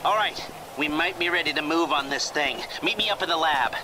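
A man acts as a shrewd middle-aged heist planner in a video game. His voice is fairly high, wry and measured, with noticeable noise behind it. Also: natural voice. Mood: guarded. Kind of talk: video game dramatic acting. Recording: game audio with clear background noise.

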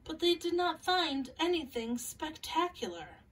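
A woman in her thirties hosting a children's storytime, reading and introducing a picture book in a measured way with pauses. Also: high-pitched, big pitch swings, fairly bright tone, a little hiss or hum. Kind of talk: scripted introduction and picture-book read-aloud. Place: small furnished room at home, indoors. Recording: voice a little far from mic.

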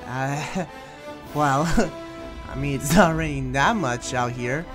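A man's voice: medium pitch, takes it slowly, natural voice. A man playing a friendly, awkward young demon slayer in improvised game roleplay, talking in a hesitant, rambling, casual way. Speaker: roleplayer in a Minecraft anime roleplay. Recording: music playing behind the voice.

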